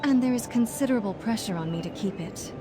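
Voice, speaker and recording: A woman's voice: on the high side, animated, fast, noisy background, natural voice. A woman playing a noble, earnest and firm human leader in dramatic fantasy game dialogue, with music underneath. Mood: indignant.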